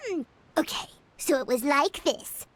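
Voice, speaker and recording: Squeaky, boastful little fairy companion. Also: female voice, expressive, moderate pace, noisy background. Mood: smug. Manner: boastful, speaks of herself in third person. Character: tiny boastful, chirpy female fairy-like travel companion. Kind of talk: anime video game voice acting.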